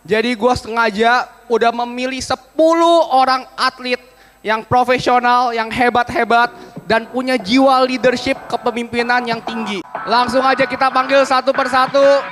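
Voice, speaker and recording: A man hosting a game show, energetic and slangy, calling out contestants loudly. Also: extremely high pitch, normal speed. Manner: hyped, slangy, calls out names loudly. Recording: music under the voice. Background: music.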